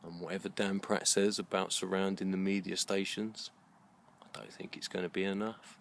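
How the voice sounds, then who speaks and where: A middle-aged British man speaks in a very low voice with a gravelly creak. He delivers a reflective monologue in short, deliberate phrases, earnest and halting. Faint ambient noise sits behind him. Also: little pitch movement. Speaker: video blogger. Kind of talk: slow reflective monologue to camera.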